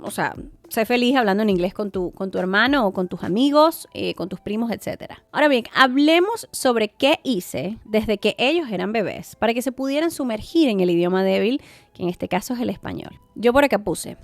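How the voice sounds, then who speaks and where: A woman's voice: mid-range voice, animated, normal speed, no echo. A woman hosts a podcast, talking casually and earnestly to listeners and giving parents advice with many asides. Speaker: podcast host.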